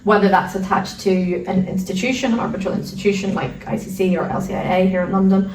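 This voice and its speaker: A middle-aged Irish woman, an arbitration lawyer answering interview questions in a measured, articulate way with the odd repeated word. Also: medium pitch, steady tone, darkish tone. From Ireland. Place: residing in London. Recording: roomy sound, distant mic, faint noise.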